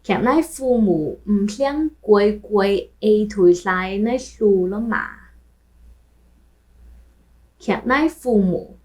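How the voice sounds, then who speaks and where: A woman who grew up speaking Taishanese, hosting a language lesson where she repeats phrases slowly and translates them. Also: mid-range voice, lively intonation, darkish tone. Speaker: language teacher and host. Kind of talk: language lesson with film clips.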